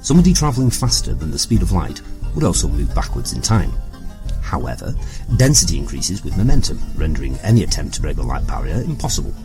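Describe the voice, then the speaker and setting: A man's voice: low voice, big pitch swings, fast talker, over music. A man playing a mock-learned narrator, delivering pedantic, tongue-in-cheek scripted comic explanations. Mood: wry lecturing.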